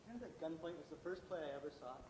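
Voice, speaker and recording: A man's voice: on the high side, expressive, fast talker, natural voice. A man playing an eager, polite young aspiring playwright in an amateur stage play. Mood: eager, admiring. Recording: distant, echoey, noisy stage recording.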